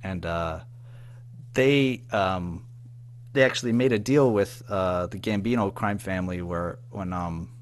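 A man's voice: sits low, measured pace. An American man giving a casual interview as a guest, speaking in an informal, hesitant, rambling way. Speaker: interview guest, audio drama writer and producer.

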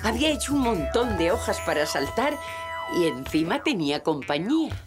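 A woman playing a gentle storyteller, narrating a children's cartoon with a Castilian Spanish accent. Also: mid-range voice, big pitch swings, normal speed, natural voice. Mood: gentle storytelling. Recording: music bed behind the voice.

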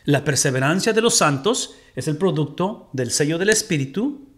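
A man's voice: on the high side, normal speed. A middle-aged Mexican man with a Mexican Spanish accent, teaching a Bible study from a home room and putting rhetorical questions to his listeners. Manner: animated, gestures while teaching, asks the audience rhetorical questions.

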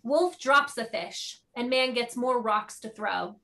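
Woman, play narrator, scripted stage directions, slightly high pitch. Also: takes it slowly, sharp tone.

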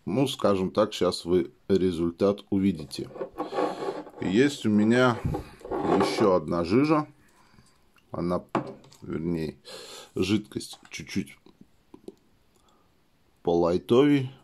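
A man with a slightly hoarse voice from a cold, chatting casually while demonstrating a product at a table indoors and leaning on filler phrases. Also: sits low, lively intonation, slow, pauses often, background noise. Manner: chatty, keeps saying "let's say" as filler. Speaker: product reviewer. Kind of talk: casual how-to demo.

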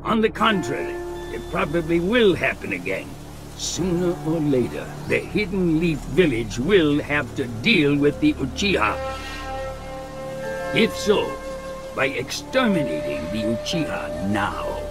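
An actor playing a ruthless, scheming old ninja elder, measured and menacing, in an anime game dub. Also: male voice, fairly high pitch, very animated. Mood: cold, calculating. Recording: music behind the voice.

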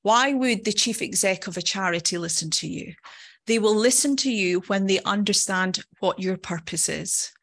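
A woman's voice: medium pitch, fairly bright tone. A middle-aged woman from Scotland with a Scottish accent, giving a personal conference talk in a conversational, earnest manner. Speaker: nursing professor, conference speaker.

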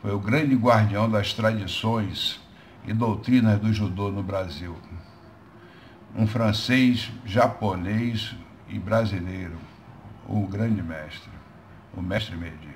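A man in his sixties giving a short tribute, measured and trailing off at the ends of phrases. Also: on the low side, a little hiss or hum. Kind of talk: solemn spoken tribute. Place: indoor living room. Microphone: webcam or phone close up.

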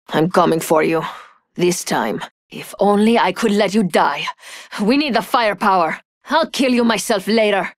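Game heroine: proud, vengeful thief, lowish sultry voice, icy disdain, close dry recording. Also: female voice, dry recording.

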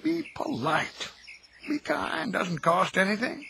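Lively folksy American caretaker. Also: male voice, sits high, slow pace, gravelly, exaggerated voice. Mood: earnest, warm. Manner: folksy, neighborly, plain-spoken. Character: folksy, kindly middle-aged graveyard caretaker who chats with the dead. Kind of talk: audio drama acting. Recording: noisy outdoor ambience with birdsong.

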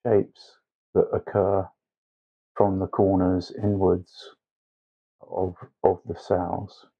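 Male painting instructor, lowish pitch, slow hesitant step-by-step delivery, slightly muffled recording. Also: little pitch movement, gravelly. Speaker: watercolour tutor. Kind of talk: instructional painting tutorial narration.

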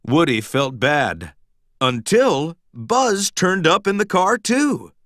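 A man reading a children's storybook aloud in an expressive, dramatic, emphatic storytelling manner. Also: fairly high pitch, slow pace, no room sound, clean studio sound. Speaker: storybook narrator.

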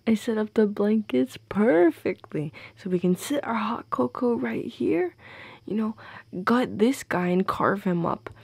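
A woman playing a playful, affectionate goth girlfriend in an intimate audio roleplay, teasing and tender, with soft breathiness, close on the mic. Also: mid-range voice, creaky, breathy edge, no room sound, full character voice. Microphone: close, dry microphone.

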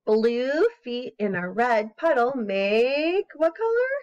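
A woman in her thirties with an expressive, singsong manner, talking to young children as an educator. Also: fairly high pitch, slow, clear, resonant tone. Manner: expressive, singsong, encouraging young listeners to join in. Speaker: children's educator and story reader. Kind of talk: animated read-aloud and casual craft demonstration for young children. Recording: slightly dull webcam recording.

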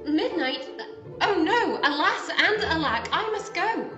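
A woman's voice: very high voice, lively intonation, slow pace, dull audio, music in the background, full character voice. A woman in her twenties playing a sweet, dreamy, oblivious pantomime heroine, recorded in a roomy space over a video call. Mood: melodramatic alarm. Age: 20s.

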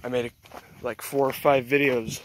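A man's voice: medium pitch, level tone, moderate pace, low-quality recording. An American man chatting casually for an outdoor vlog, blunt, foul-mouthed and self-deprecating. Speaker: vlogger. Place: outdoors on a lakeshore. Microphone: handheld camera held by speaker. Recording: wind and outdoor noise, clicks.

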